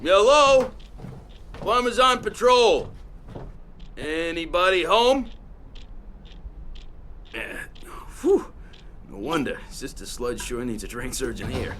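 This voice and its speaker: An actor playing a casual, slangy young American plumber in a video game cutscene. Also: male voice, on the high side, very animated, takes it slowly, pauses often, fairly bright tone, slight background noise, full character voice. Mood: joking, casual. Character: laid-back, argumentative American plumber, young everyman.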